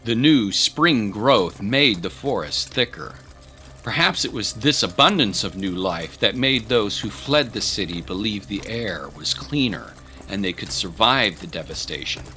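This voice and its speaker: A man's voice: lowish voice, expressive, a little slow, bright, forceful tone, faint music behind. A man narrating a scripted historical audio drama in a grave, theatrical manner.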